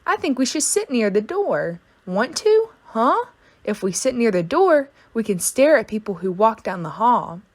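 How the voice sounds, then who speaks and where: A woman voicing an excitable, dramatic first-grade girl in a storybook, gushing with eager, childlike exclamations. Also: fairly high pitch, unhurried. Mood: eager, urgent. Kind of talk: children's storybook read-aloud.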